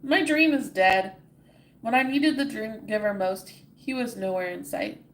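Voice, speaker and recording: A woman's voice: mid-range voice, normal speed, close to an everyday voice. A woman voicing a desperate, worn-out wanderer. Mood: exasperated. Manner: loud, exasperated complaining. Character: weary desperate young male wanderer.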